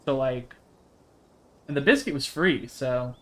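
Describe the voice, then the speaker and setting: A man's voice: mid-range voice, unhurried, a bit bright, a little hiss or hum. A man reading a visual novel aloud on a live stream, dropping into casual, sweary asides. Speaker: game streamer. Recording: music behind the voice.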